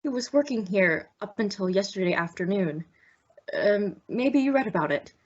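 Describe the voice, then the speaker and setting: A woman's voice: medium pitch, expressive, normal speed, close to an everyday voice. Woman playing a stuffy, formal male executive. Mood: formal, uneasy. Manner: formal, hesitant, proper. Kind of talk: old-time radio mystery acting. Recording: dull lo-fi audio.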